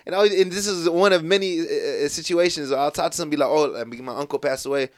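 A man raised in the United States, a podcast guest telling stories in a relaxed, casual way, full of fillers and restarts. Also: on the high side, very fast, no echo. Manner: laid-back storytelling with lots of fillers and restarts.

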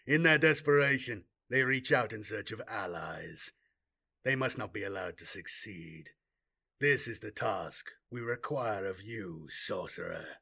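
A man's voice: on the low side, unhurried, vocal fry, pushed and bright, husky, full character voice. A man voicing a disdainful male warlord who speaks in a cold, dead, mocking voice.

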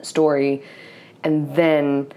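Low-voiced woman, hesitant and reflective. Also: flat delivery, extremely slow, dark tone, low-quality recording. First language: Serbo-Croatian. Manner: hesitant, many restarts and fillers; reflective, searching for words. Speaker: novelist guest. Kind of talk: interview conversation.

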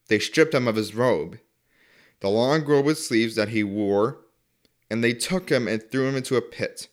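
Male scripture reader, mid-range voice, reading a Bible narrative. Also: medium pitch.

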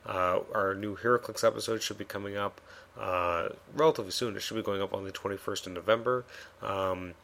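A man's voice: lowish voice, fairly even intonation, fairly quick, gravelly, fairly bright tone. A man hosting a solo comic review podcast, talking casually with many fillers and restarts, his voice a bit worn.

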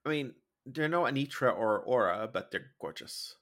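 A man with a very lively, swooping delivery co-hosts a casual comedic podcast. He sounds campy and sassy, often exclaiming and swearing, at a middling pitch. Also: moderate pace. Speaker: podcast co-host. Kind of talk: casual comedic recap chat about a drag competition show.

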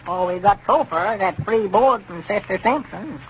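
Man doing fussy skeptical old arkansas hillbilly, real high voice, folksy, old radio comedy, bit noisy. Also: moderate pace, close to an everyday voice. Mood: worried. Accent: rural Arkansas. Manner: folksy, querulous country talk. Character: skeptical, literal-minded old male country fellow, fussy. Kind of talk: 1930s radio comedy acting. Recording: muffled, noisy 1930s radio recording.

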